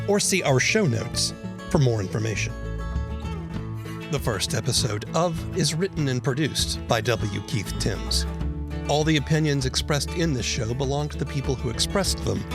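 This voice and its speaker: A man's voice: medium pitch, gravelly. A man hosting a podcast, reading scripted narration. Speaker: podcast host. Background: instrumental guitar music.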